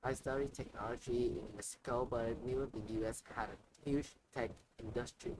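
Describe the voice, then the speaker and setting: A man's voice: mid-range voice, steady tone, moderate pace, close to an everyday voice. A man voicing an upbeat woman tech worker. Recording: noisy ambient background.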